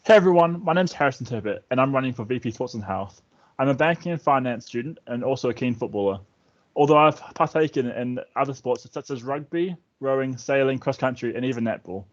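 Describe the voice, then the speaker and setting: A man's voice: medium pitch, brisk, lo-fi sound. A young man with a New Zealand accent reading a prepared campaign speech as a student candidate, occasionally stumbling over the script. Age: young adult.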